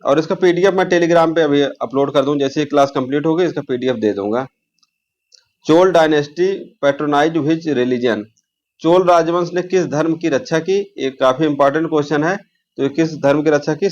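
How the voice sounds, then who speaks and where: A man teaching an informal exam lesson, repeating key terms and posing questions as he explains. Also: on the high side.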